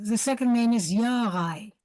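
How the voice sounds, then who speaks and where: A woman's voice: mid-range voice, moderate pace, warm tone, no echo. A woman audience member speaking conversationally on an online call, offering comments and reminiscences. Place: online video call, close dry sound.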